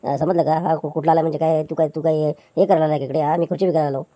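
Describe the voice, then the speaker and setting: A woman's voice: low voice, steady tone, fast, mellow, no room sound. A woman voicing a meek pleading male chair seller. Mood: placating. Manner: pleading, placating, stammering restarts.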